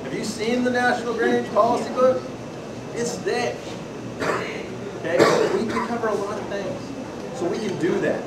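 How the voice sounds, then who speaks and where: A man with a Pacific Northwest accent giving a folksy, lively workshop talk to an audience, telling stories and asking the room questions. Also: high voice, normal speed, long pauses. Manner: loud folksy storyteller, asks the room questions. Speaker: presenter at a membership workshop. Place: meeting hall with a live audience. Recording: distant, echoey room recording with loud noise.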